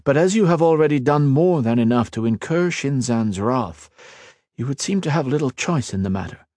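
A man voicing an earnest, calm male mage lord who speaks evenly and respectfully. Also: mid-range voice, moderate pace, no echo, pitched above the performer's own voice, lightly acted.

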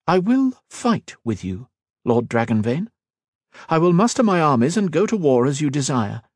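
A man's voice: fairly high pitch, big pitch swings, dry recording, clean studio sound, voice pushed up. A man voicing a haughty, imperious queen. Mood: commanding. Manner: imperious, cutting, measured. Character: proud, wary woman queen, haughty and sharp-tongued.

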